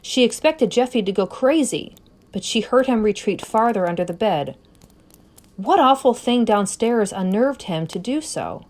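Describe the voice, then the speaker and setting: A woman reading a scary story aloud as narrator, expressive and suspenseful, with deliberate phrasing. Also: fairly high pitch. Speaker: story reader.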